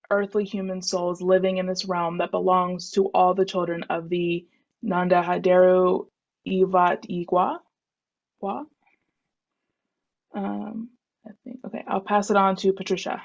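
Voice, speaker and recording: Creaky, flat-toned woman reading aloud. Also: on the low side. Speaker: reader in a group reading. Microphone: video call. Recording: dull, muffled video-call sound.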